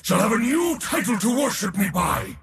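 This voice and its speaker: A man's voice: high voice, big pitch swings, normal speed, gravelly, fairly bright tone, in a large hall, exaggerated voice. A man voicing an arrogant, mocking war god in a video game, pompous and theatrical with a sardonic edge. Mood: grave, resolute. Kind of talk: video game character voice lines.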